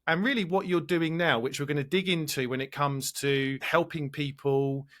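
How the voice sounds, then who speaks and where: A man's voice: fairly high pitch, fairly even intonation, normal speed, fairly bright tone. Enthusiastic rambling podcast host man. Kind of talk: interview hosting.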